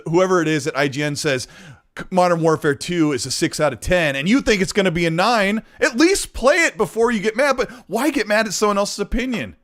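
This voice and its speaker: A man's voice: high-pitched, fairly bright tone. A man hosting a podcast, chatting casually and cutting in with short remarks. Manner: chatty, quick short interjections. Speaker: podcast host.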